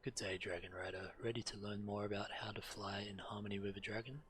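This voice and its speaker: A man with a low, clear-edged bright voice reads fantasy game text aloud as he streams. He slips now and then and corrects himself, adding casual comments. Faint noise sits behind him. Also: level tone, fast talker.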